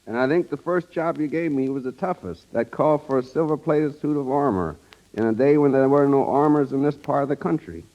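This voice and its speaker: A man's voice: mid-range voice, fairly quick, dull audio. A man giving a scripted, formal guest interview on an old 1930s radio broadcast, as if reading prepared lines. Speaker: studio wardrobe director, radio guest.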